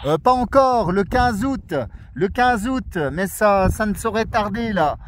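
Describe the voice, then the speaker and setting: A man chatting on the radio outdoors on a mountain at night, relaxed and friendly as he explains his gear. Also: high-pitched, measured pace, a little hiss or hum. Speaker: radio amateur and YouTuber. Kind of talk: casual radio chat.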